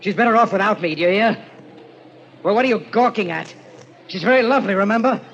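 An actor playing a tormented, obsessed newlywed husband in an old-time radio thriller, halting and repeating himself with melodrama. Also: male voice, medium pitch, sing-song, natural voice. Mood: curt, furious. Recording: dull, lo-fi, faintly noisy vintage radio recording.

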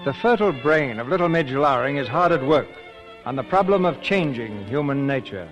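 A male announcer giving scripted, measured narration for a 1940s radio drama. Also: medium pitch, animated, poor audio. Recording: old radio with loud music bed.

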